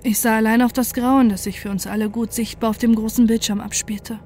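A woman's voice: a little high, fairly even intonation, fairly quick, darkish tone, a little hiss or hum, lightly acted. A woman playing a defiant, desperate scientist who narrates a horror story in an intense, dramatic way. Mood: grave narration.

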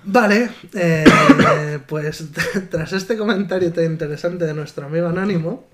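Podcast co-host, a man, high voice, chatty and quick to laugh. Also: noisy background. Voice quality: higher voice than his co-host. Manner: laughs often, reads listener comments aloud. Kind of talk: casual chat.

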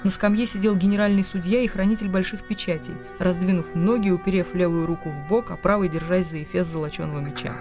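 A man narrating a radio play with expressive, theatrical literary storytelling. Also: sits high, fast, few pauses, music in the background.

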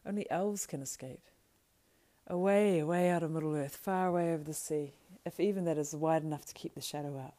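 A woman voicing an anguished male hobbit ring-bearer in a storybook, halting and intense. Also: deep voice, mellow, close to an everyday voice. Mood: hopeless.

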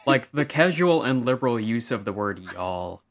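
A woman's voice: very deep voice, expressive, moderate pace. A woman from New York co-hosting a podcast, chatting casually and making dry, wry jokes. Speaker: podcast co-host. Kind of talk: casual chat.

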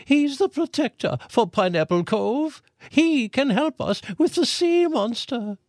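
Brief: man putting on an excitable woman, very high and loud, drawn-out shouting. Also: no room sound, studio quality, full character voice. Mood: urgent. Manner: shouting, urgent. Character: excitable woman, eager aunt.

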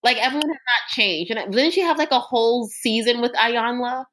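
A young woman co-hosting a podcast, joking around in quick, teasing banter. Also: a little high, a bit bright, slight background noise, low-quality recording. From United States. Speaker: podcast co-host. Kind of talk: casual chat.

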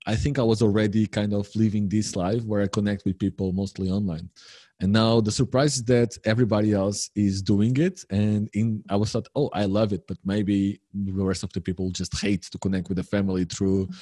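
A man's voice: lowish voice, darkish tone, no echo. A man speaking as a guest in a casual online chat over a video call, rambling enthusiastically and repeating his phrases.

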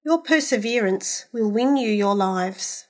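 A woman reading scripture aloud in a measured, deliberate, solemn way. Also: mid-range voice, expressive. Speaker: scripture reader.

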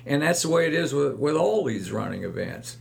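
An older man, reminiscing about running in a slow, rambling way with many fillers. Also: on the high side, big pitch swings, creaky. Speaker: guest, longtime club runner. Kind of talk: casual reminiscing chat.

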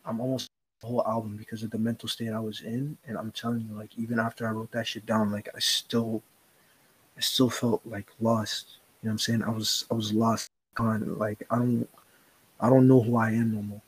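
A man's voice: lowish voice, little pitch movement, brisk, husky, poor audio. A young man co-hosting a podcast, talking earnestly in casual chat, rambling with slang and swearing. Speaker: podcast co-host.

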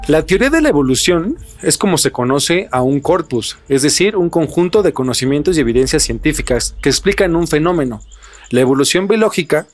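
A man with a Mexican accent hosting a podcast, explaining things and chatting in an informal, slangy way. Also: a little high. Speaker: podcast host. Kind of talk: podcast talk, explaining and casual chat.

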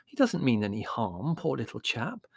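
A man voicing a gentle, vague middle-aged mother in an audiobook, hesitant and placating. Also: a little high, big pitch swings, normal speed, gravelly, slightly rough, no echo, studio quality, voice pushed up, heavily acted. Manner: hesitant, placating, mildly doubtful. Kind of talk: audiobook character voice.

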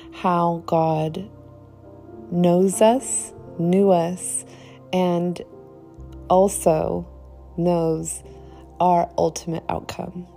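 Slow, mellow-voiced woman hosting a faith podcast. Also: fairly low pitch. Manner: slow, earnest, with soft trailing phrases. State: slightly croaky from a cold. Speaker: podcast host. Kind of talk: devotional podcast talk and prayer.

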